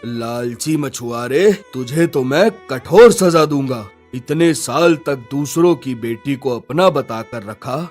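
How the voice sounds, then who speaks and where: A man's voice: mid-range voice, expressive, takes it slowly, darkish tone. A man voicing an authoritative king and father in a cartoon, formal and commanding. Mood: stern anger. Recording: music under the voice.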